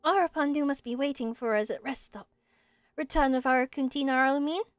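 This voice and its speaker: Woman as a gentle high-voiced little forest spirit. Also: dry recording, close to an everyday voice. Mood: solemn. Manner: simple, measured, solemnly innocent phrasing. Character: small gentle earnest childlike forest spirit. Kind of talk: amateur video game character voicing.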